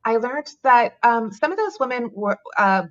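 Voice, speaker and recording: Woman, guest author on a call; muffled lo-fi line, relaxed thoughtful delivery. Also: on the high side, normal speed. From Canada. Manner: thoughtful, with frequent ums and light laughs. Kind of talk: conversational interview answers. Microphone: remote call.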